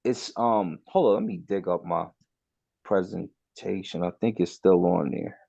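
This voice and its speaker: A man in casual group chat on a stream, talking in a relaxed, drawling way. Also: deep voice, unhurried, vocal fry, dry recording, highs cut off. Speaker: panelist.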